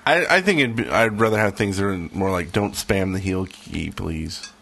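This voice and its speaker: A man's voice: sits low, moderate pace, creaky, a bit bright. A man co-hosting a podcast with casual chat and an informal, wry way of talking. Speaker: podcast co-host.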